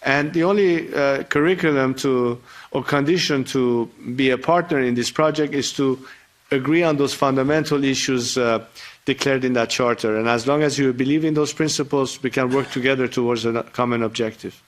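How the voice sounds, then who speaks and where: A man speaking as a guest at a formal event, answering a question in a measured, deliberate way with frequent hesitations. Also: medium pitch. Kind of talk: spontaneous formal remarks.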